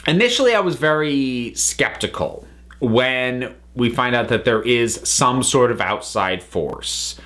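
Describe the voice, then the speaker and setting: An American man giving a casual, opinionated review as a vlog host, thinking aloud in halting phrases with emphatic stresses. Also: mid-range voice, animated, a bit bright.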